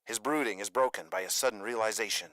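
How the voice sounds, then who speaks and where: A man's voice: fairly low pitch, fast talker, sharp tone. A man reading scripted dramatic narration for a radio drama.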